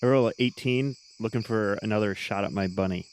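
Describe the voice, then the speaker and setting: A woman voicing a gruff, simple male warrior with blunt, solemn declarations and a gravelly, husky voice in a tabletop game. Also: very deep voice, brisk, darkish tone, a little hiss or hum. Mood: eager, proud.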